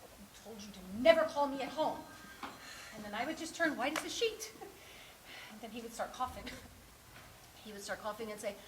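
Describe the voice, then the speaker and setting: An actress playing an anxious, clumsy, lovelorn woman in a theatrical monologue, halting and scolding herself with dramatic swings. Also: fairly high pitch, animated, natural voice. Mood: nervous, flustered. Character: anxious, clumsy, lovelorn mistress rehearsing a dreaded phone call. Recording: echoey room with faint noise.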